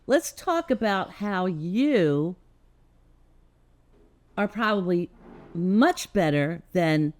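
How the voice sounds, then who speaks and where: A woman's voice: lowish voice, animated, very slow, pauses often, darkish tone. An older woman hosting a casual interview, playful and teasing as she asks questions. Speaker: host.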